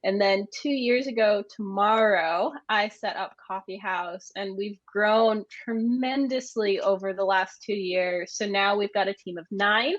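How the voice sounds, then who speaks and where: A woman's voice: mid-range voice, fairly bright tone, no room sound, dull audio. A woman from the United States with an American accent, answering conversationally as an interview guest. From United States (Maine). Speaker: interview guest, agency managing director.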